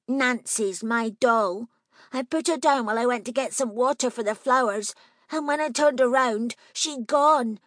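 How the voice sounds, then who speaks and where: A woman's voice: a little high, a little slow, a bit bright, no echo, voice pushed up. Woman putting on a stubborn little girl. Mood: insistent. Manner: matter-of-fact, insistent, bossy. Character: nervous but stubborn girl of nine.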